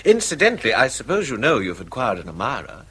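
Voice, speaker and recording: A man's voice: medium pitch, wildly swooping pitch, fast talker, fairly bright tone, exaggerated voice. An actor playing a mild, henpecked Englishman, hesitant and eager to placate, in a radio drama style. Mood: mild concern. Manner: hesitant, eager to smooth things over. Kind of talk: British radio drama acting.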